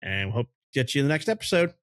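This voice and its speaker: A man's voice: mid-range voice, sing-song, very fast. A man hosting a casual chat among friends about games and movies. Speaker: podcast host.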